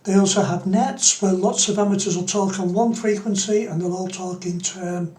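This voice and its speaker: A man's voice: high-pitched, steady tone, normal speed, darkish tone, roomy sound. A middle-aged man, giving an informal explanatory tutorial as a hobbyist. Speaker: amateur radio hobbyist presenting a tutorial.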